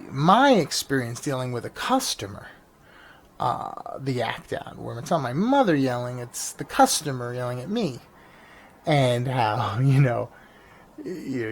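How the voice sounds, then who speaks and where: A man from New York, a stand-up comedian hosting his own vlog podcast, rambling casually with lots of restarts and fillers. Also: medium pitch. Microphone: janky mic. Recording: faint engine noise behind. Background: engine noise.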